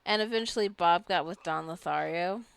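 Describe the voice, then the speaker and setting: Lowish-voiced woman casually narrating gameplay. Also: moderate pace, gravelly, clear, resonant tone, no room sound. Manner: chatty, rambling, musing aloud. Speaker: gamer narrating own gameplay. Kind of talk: casual let's play commentary.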